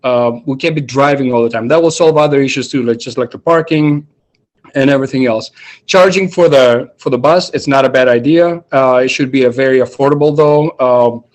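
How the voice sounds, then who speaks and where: A man's voice: medium pitch, a little fast. A man from Greece, giving a chatty answer full of fillers as a mayoral candidate on a video call.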